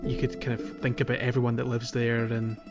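A man's voice: mid-range voice, completely flat delivery, a little fast, dull audio, music in the background. A man hosting a casual gaming podcast, often searching for his words. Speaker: podcast host.

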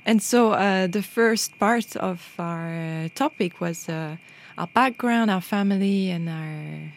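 A woman's voice: on the low side, takes it slowly, gravelly, a little hiss or hum. A woman from France hosting a podcast in a radio studio, leading the chat with a hesitant, filler-heavy delivery. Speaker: podcast host.

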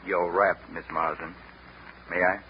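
Deep-voiced, stern Southern gentleman. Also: flat delivery, measured pace. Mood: curt, formal. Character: stern, coolly menacing young Southern gentleman. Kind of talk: 1940s radio drama acting. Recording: muffled, noisy vintage radio broadcast.

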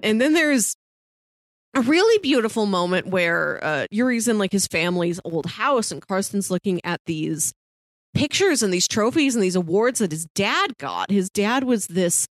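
A woman co-hosts a casual review podcast. She tells things in an animated, chuckly way at an ordinary female pitch. The sound is dry, with little room on it. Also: moderate pace, no room sound. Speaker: podcast co-host.

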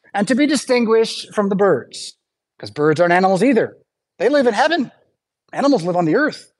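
Conversational male pastor preaching. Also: high-pitched. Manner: conversational, frequent asides and "right?" tags. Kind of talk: sermon. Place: church.

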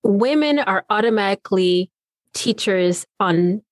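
A woman hosting her own podcast with an earnest, encouraging manner. She stretches out her phrases. Her voice is mid-pitched and recorded dry and close. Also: animated, a little slow, no echo. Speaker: podcast host. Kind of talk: casual interview chat.

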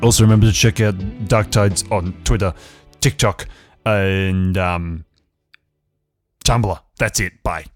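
A man's voice: sits low, steady tone, takes it slowly, pauses often. A man hosting a podcast, reading out casual thanks and announcements. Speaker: podcast host. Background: guitar music.

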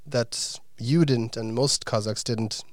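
A man interviewing a guest, speaking earnestly with frequent pauses and fillers. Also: medium pitch, steady tone, no room sound, studio quality. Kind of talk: conversational interview.

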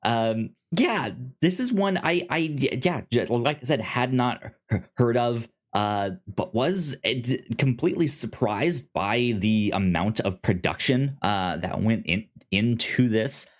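A man hosting a casual review podcast, informal and halting, stammering over the starts of words. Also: mid-range voice, lively intonation. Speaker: podcast host.

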